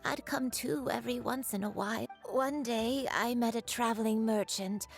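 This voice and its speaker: A woman voicing a frail, forgetful old granny in a video game, gentle, halting and apologetic. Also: fairly high pitch, steady tone, soft background music, close to an everyday voice. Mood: hesitant recollection.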